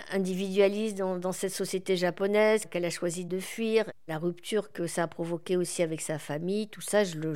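A woman in her forties, a guest in an interview, speaking reflectively with many pauses and fillers. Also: lowish voice, a little mellow, clean tone. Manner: reflective, hesitant with frequent fillers. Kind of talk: conversational interview.

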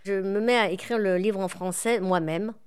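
A woman in her forties, a guest in an interview, speaking reflectively with many pauses and fillers. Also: mid-range voice, smooth. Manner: reflective, hesitant with frequent fillers. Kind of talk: conversational interview.

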